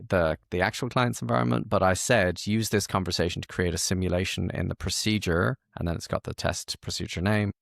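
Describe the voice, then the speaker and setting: A man's voice: low-pitched, gravelly, a bit bright, dry recording, clean studio sound. A man hosting a casual interview and walkthrough, playful and self-deprecating, often repeating words as he goes. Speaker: podcast host and support consultant.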